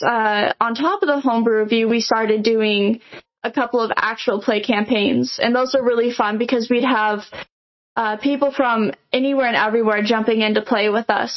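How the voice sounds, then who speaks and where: A young adult woman from Nebraska, answering interview questions in a chatty way with lots of fillers and restarts. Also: on the high side. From Nebraska, USA. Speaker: podcast guest. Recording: dull lo-fi audio.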